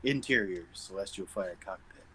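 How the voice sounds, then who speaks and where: Bright, crisp-voiced man reading stage directions. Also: sits low, normal speed, a little hiss or hum. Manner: stumbles over words and corrects himself. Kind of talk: scripted narration at an informal read-through.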